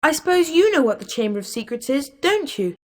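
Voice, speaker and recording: A woman playing a keen, sporty young student at a wizard school in animated video game dialogue. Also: high voice, brisk. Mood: curious. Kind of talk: scripted video game voice lines.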